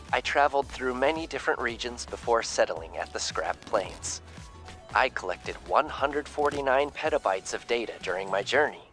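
An actor playing a polite, curious data-collecting robot in a video game, speaking in a formal, precise way. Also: male voice, mid-range voice, fairly bright tone, natural voice. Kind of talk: video game cutscene dialogue.

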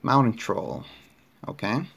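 Slow-talking Chilean man with a Spanish accent. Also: mid-range voice, gravelly, a bit bright, breathy edge. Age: young adult. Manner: unhurried, hesitant, many fillers and restarts. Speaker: card collector opening packs. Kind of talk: casual unboxing narration. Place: indoors at a table.

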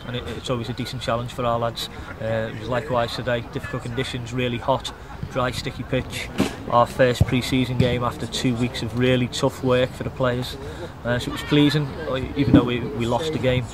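A middle-aged man, a youth football coach giving a measured, matter-of-fact post-match interview outdoors. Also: mid-range voice, little pitch movement, a crowd in the background. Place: outdoors beside a grass football pitch.

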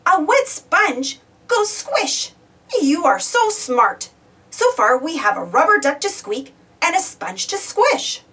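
A woman's voice: very high voice, sing-song, slow, bright, forceful tone, far from the mic. A woman reading a children's storybook aloud, exclaiming and putting questions to young listeners. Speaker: storybook reader. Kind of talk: animated children's read-aloud.

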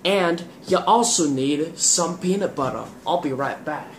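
A teenage boy presents a homemade cooking show in a casual, goofy, slangy style. His young male voice moves at a slow, unhurried pace as he explains each step. He is picked up by a camera on the kitchen counter, with clear background noise. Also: on the high side, expressive. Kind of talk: casual vlog presenting, demonstrating steps. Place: home kitchen, indoors. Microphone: camera on the counter, about a metre away.

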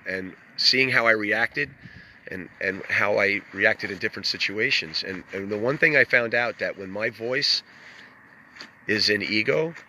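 A man's voice: lowish voice, sharp tone, slight background noise, low-quality recording. A man hosting a casual livestream outdoors by a lake, greeting viewers by name and musing at length. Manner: greets viewers by name, rambling reflective talk. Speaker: livestream host. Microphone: phone held while filming.

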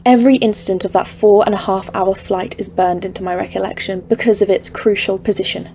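A woman's voice: mid-range voice, steady tone, fairly quick, warm tone, natural voice. A woman acting in an audio drama as a haunted expedition leader of thirty-four, grave and literary, foreboding in tone. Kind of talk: scripted audio-drama narration and dialogue. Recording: clearly noisy, ambient background.

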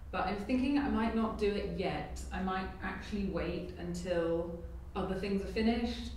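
A young woman talks casually about her renovation work, thinking out loud as she goes. Her voice rings around a large empty brick barn and is picked up from a distance. A faint hiss sits underneath. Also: lowish voice. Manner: chatty, thinking aloud while working. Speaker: DIY renovation vlogger. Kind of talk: casual vlog narration. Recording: echoey barn, mic set far off, faint background hiss.

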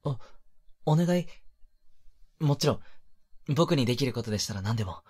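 A male voice actor playing a polite, flustered young man in a romantic voice drama, gentle and hesitant, with a soft breathy delivery. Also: mid-range voice, lively intonation, normal speed. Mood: flustered, apologetic. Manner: hesitant, bashful, apologetic, gentle.

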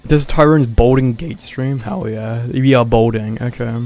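A man's voice: mid-range voice, steady tone, measured pace, darkish tone, soft background music. A man hosting a casual gaming livestream, talking in a hesitant, mumbly way with lots of swearing. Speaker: livestream host. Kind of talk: casual gaming stream chat.

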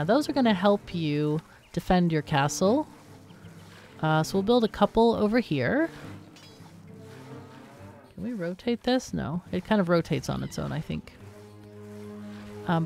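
A woman's voice: lowish voice, creaky, darkish tone. A woman gives casual, unscripted game commentary, thinking aloud and asking herself questions as she plays. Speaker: gaming video host.

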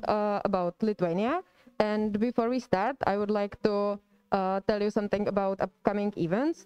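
A woman's voice: medium pitch, warm tone, clean tone, dull audio. A young woman holding a mic, hesitantly announcing upcoming events to a student audience. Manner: hesitant, with many uhs. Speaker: event organizer. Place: indoor room. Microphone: handheld mic.

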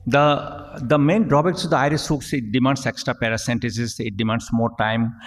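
Halting man lecturing in a reverberant hall. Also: medium pitch, fairly even intonation. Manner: halting, frequent fillers and restarts, reading at a lectern. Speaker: eye surgeon giving a conference talk. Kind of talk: technical lecture narrating surgical video. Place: large conference hall, at a podium. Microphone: podium gooseneck microphone.

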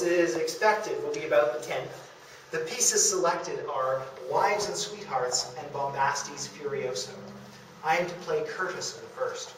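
Male lecturer, history presentation, highish voice, very reverberant room, faint noise. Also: a bit bright, distant mic. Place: meeting room in a historic house, before an audience. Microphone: distant camera in the audience.

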